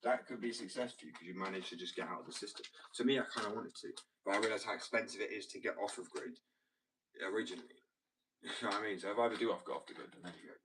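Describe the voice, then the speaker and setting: Rambling British man, low voice. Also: rapid-fire, recorded from a distance, noisy background. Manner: rambling, self-interrupting, swears freely. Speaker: livestreamer reading chat. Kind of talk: casual stream chat while working.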